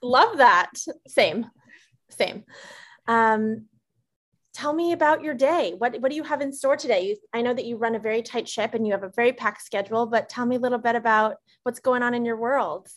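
A woman hosting an interview, asking questions and answering with quick, encouraging affirmations. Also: fairly high pitch. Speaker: podcast host.

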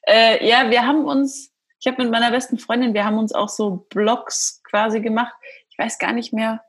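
A woman's voice: a little high, flat delivery, normal speed, breathy edge. A woman interviewing her guest in a casual chat, friendly and affirming, often laughing along.

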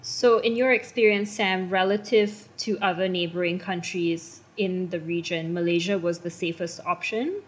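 A woman moderating a panel and asking the guests questions in a measured, polite way. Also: fairly low pitch, steady tone. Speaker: interviewer, moderator.

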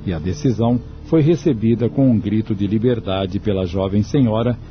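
An actor narrating a Brazilian radio novel as a measured, solemn storyteller. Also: male voice, fairly low pitch, close to an everyday voice. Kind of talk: scripted radio drama narration. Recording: dull radio audio, music behind.

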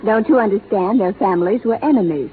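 A woman's voice: medium pitch, quick pace, a little hiss or hum, natural voice. A woman acting a proud, independent young frontier schoolteacher in a 1930s radio drama, speaking in a proper, theatrical manner. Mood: teasing, challenging. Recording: narrow, fuzzy 1930s radio broadcast.

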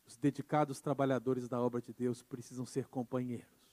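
A man pastor preaching a sermon with emphasis, moving between quiet passages and loud insistent ones. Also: mid-range voice, little pitch movement, brisk. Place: church. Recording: church hall with long reverb.

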